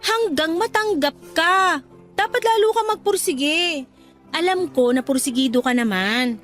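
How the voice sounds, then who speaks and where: High-voiced, supportive young girlfriend. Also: unhurried, soft background music, full character voice. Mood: encouraging. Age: young adult. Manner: affectionate, coaxing, animated. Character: supportive, upbeat young girlfriend cheering on her partner. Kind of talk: Tagalog radio drama dialogue.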